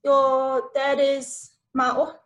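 A woman's voice: sits high, monotone, drawn-out words. A woman interviewer asking casual, eager questions in a playful way.

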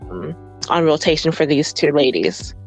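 Low-voiced woman guest, earnest and upbeat. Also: animated, normal speed, faint music behind, rough recording. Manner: earnest, upbeat, uses fillers. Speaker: nonprofit founder, guest. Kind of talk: casual interview chat.

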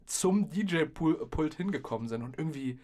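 A young adult man chatting casually as a podcast host and DJ, telling stories in a slangy, animated way and acting out what people said. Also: fairly high pitch, measured pace. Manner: slangy, acts out quoted remarks. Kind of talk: casual chat, animated storytelling.

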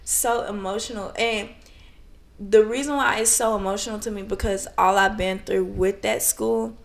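A woman's voice: mid-range voice, fairly even intonation, normal speed, creaky. A young woman co-hosting a podcast in casual chat, rambling and self-conscious with a slight lisp, laughing often. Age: young adult. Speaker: podcast co-host.

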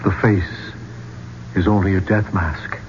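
An actor playing a tense archeologist trapped in a tomb in a 1970s radio drama, with halting, dramatic delivery. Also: male voice, low-pitched, level tone. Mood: musing. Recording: dull, noisy old radio broadcast.

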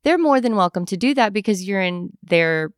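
A young adult woman chatting casually, telling animated travel stories and acting out what people said. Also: mid-range voice, normal speed, clean tone, dry recording, clean studio sound. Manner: animated storyteller, acts out quoted voices. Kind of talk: casual chat.

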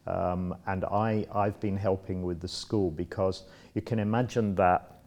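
A man's voice: deep voice, fairly even intonation, measured pace, a little mellow. A man in his sixties, born in Congo, answering interview questions in a casual, thoughtful way with many restarts and fillers. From Democratic Republic of Congo. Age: 60s. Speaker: interview guest.

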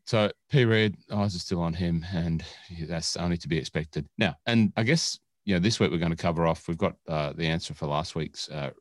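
A man's voice: deep voice, moderate pace, few pauses, no room sound. An Australian man co-hosting a casual podcast, chatting in a rambling, jokey way that often digresses. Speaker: podcast co-host. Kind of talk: casual chat.

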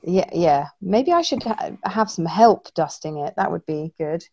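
A woman with a mellow, somewhat low voice sounds congested and a little wheezy. Her recording is muffled and dull, and she pauses often with ums. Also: expressive. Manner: hesitant with many ums. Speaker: podcast co-host. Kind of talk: casual family chat.

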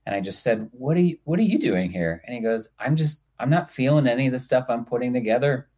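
A man's voice: medium pitch, expressive, fast, darkish tone. A man speaking casually as a writer on a panel, sharing personal experience. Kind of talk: casual anecdotal panel talk.

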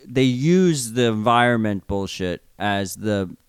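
A man's voice: fairly low pitch, expressive, takes it slowly, clear, resonant tone, no room sound, clean studio sound. A man with a New York accent, co-hosting a casual podcast, speaking bluntly and swearing now and then. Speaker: podcast co-host.

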